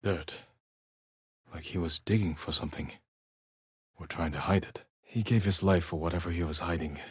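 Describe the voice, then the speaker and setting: Solemn samurai, deep husky voice, measured, clipped phrases, resolute, game dialogue. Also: male voice, fairly even intonation, no room sound, clean studio sound. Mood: hushed, investigating. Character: solemn, resolute male samurai warrior fighting invaders. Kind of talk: dramatic video game character dialogue.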